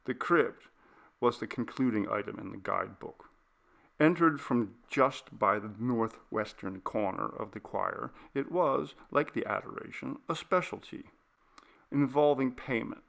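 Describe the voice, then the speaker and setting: Slow, gravelly male storyteller. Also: mid-range voice, expressive, a bit bright. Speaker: audiobook narrator. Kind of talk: scripted literary narration.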